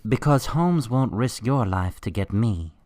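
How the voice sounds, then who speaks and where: A man playing a smug criminal mastermind professor in a radio drama, coolly threatening and taunting in a deliberate, theatrical way. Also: medium pitch, lively intonation, darkish tone, no room sound, clean studio sound, natural voice. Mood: gloating, taunting.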